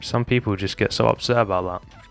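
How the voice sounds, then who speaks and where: Young man, lowish voice, slightly hoarse, casual walkthrough, faint music under. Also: steady tone, brisk. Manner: chatty, talks viewers through steps, addresses them as guys. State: slightly hoarse, losing his voice. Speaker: Minecraft building tutorial creator. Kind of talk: casual gameplay tutorial commentary.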